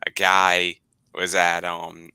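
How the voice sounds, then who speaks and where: Bright-voiced young man hosting casually. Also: sits low, monotone, takes it slowly, smooth, no echo. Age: 20s. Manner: rambling, repeats words, laughs often. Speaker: podcast host. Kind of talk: casual chat.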